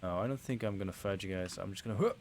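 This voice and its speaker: Dutch male streamer, low voice, laid-back rambling commentary, faint hiss behind. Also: rapid-fire. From Netherlands. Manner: relaxed, rambling, chatting to viewers while playing. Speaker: game streamer. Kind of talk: casual livestream commentary.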